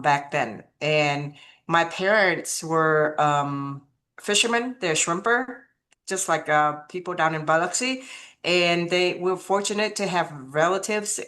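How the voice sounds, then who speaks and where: A woman in her fifties from Vietnam with a Vietnamese accent, telling her life story as an interview guest, halting with many fillers and restarts. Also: low-pitched, fairly bright tone. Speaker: martial arts master guest.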